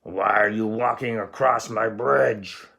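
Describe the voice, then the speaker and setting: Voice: mid-range voice, slow pace, creaky, fairly bright tone. Someone putting on a roaring, greedy old troll. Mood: roaring, threatening. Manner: roaring threats, muttering when scheming. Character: hideous, stinky old male bridge troll, greedy bully.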